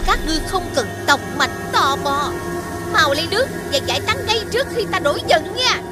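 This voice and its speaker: A woman's voice: very high voice, expressive, fairly quick, loud music behind the voice. A woman playing an irritable, imperious moonlight witch in a scripted children's fairy tale, scolding dramatically. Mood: stern, irritable. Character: ugly, irritable moonlight witch hiding in a well.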